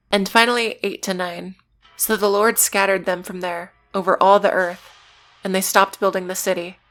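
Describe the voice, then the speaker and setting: Even-toned woman narrating over music. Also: medium pitch. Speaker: video essayist. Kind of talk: scripted video essay narration with read-out quotes. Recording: music behind the voice. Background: background music.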